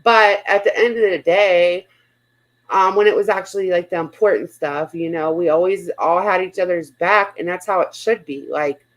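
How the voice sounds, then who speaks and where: A woman's voice: fairly low pitch, normal speed, rough recording. A woman hosting a casual livestream chat, speaking in a blunt, outspoken way and swearing freely. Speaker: livestream host.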